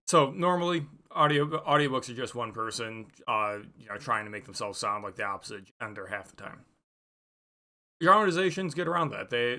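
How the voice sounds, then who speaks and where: A man giving a casual, unscripted book review, thinking aloud in a halting, rambling way and offering hedged opinions. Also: mid-range voice, bright, forceful tone.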